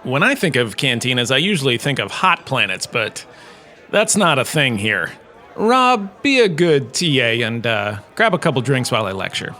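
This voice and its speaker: A man's voice: mid-range voice, very animated, normal speed, bright, forceful tone. Man playing a vain, pompous male professor. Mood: wry, chatty. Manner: pompous, wry, chatty. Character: vain, self-important male professor and holodrama star. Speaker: lecturer. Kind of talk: comic in-character lecture.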